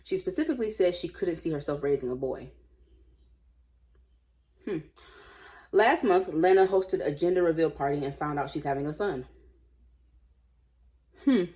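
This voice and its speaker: A woman reading aloud and commenting casually, with an informal, opinionated, slangy way of musing out loud. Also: low voice, expressive, normal speed, long pauses. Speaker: host reading and commenting on online stories.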